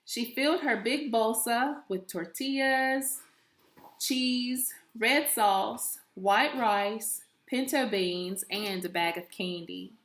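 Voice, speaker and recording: Slow-paced woman reading a storybook, echoey room. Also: fairly high pitch, a bit bright. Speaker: elementary school teacher reading aloud. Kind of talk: storybook read-aloud for young children.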